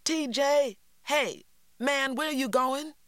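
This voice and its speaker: An earnest teenage older brother, as a reader puts him on calls out high and urgent. The sound is close and dry, the pitch swinging widely. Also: sing-song, slow pace, no echo, heavily acted. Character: earnest older brother, a boy in his early teens.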